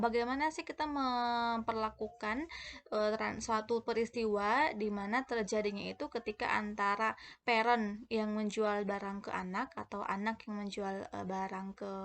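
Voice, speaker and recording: A woman delivers an explanatory lesson at a medium pitch. She speaks as a lecturer walking through material step by step. Her delivery is hesitant, and she often breaks off a word and starts it again. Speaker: accounting lecturer.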